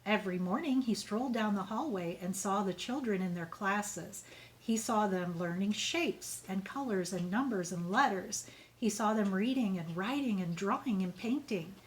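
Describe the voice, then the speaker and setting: A woman reading a children's storybook aloud in an expressive, measured way, as if to young pupils. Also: medium pitch, rough recording.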